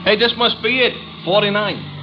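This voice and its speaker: An actor playing a simple-minded comic sidekick in a 1950s radio drama, talking earnest rough slang and stammering when nervous. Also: male voice, sits high, moderate pace, close to an everyday voice. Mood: jokey. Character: naive, comic male sidekick, a bit slow. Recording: dull old radio broadcast with hiss.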